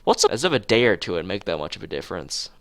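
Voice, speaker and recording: A man's voice: low voice, very animated, quick pace, a bit bright, no room sound. A man voicing a hesitant, defensive teenage schoolboy in a visual novel, evasive and reluctant. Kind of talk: visual novel dialogue read aloud.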